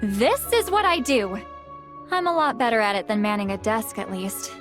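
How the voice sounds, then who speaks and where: An actress voicing a laid-back, quietly confident young woman medium in a game, with a languid, casual delivery. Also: fairly high pitch, sing-song, moderate pace. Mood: laid-back, doubtful. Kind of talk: scripted video game character dialogue. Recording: game music behind the voice.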